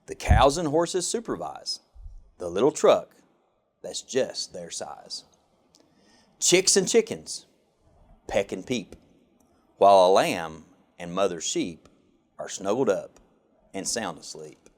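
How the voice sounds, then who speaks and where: Male storybook reader, lower-pitched, unhurried, with expressive sing-song phrasing. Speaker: government official reading a children's picture book. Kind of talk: storybook read-aloud.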